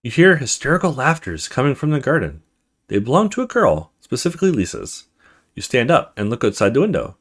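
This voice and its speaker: A man's voice: mid-range voice, huge pitch swings, fairly quick. A man hosting a let's play, reading a horror visual novel aloud in a dramatic storytelling way with casual asides.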